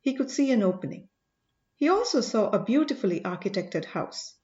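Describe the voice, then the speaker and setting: A woman's voice: on the high side, very animated, moderate pace, dark tone. A woman reading a novel aloud as scripted audiobook narration, telling the story and its characters' thoughts. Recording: roomy echo, dull lo-fi sound.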